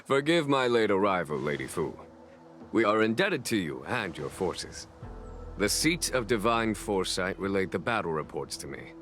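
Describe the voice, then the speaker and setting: Deep male voice, calm general, formal and measured, shrewd, video game drama, faint music behind. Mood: courteous, composed. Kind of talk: dramatic scripted game dialogue.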